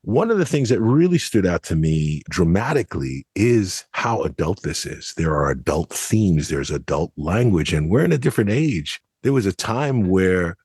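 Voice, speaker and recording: A man's voice: low-pitched, very animated, moderate pace, few pauses, a little mellow, breathy edge, dry recording, clean studio sound. A man co-hosting a podcast, giving a casual but articulate review with emphatic, explanatory phrasing. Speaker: podcast co-host.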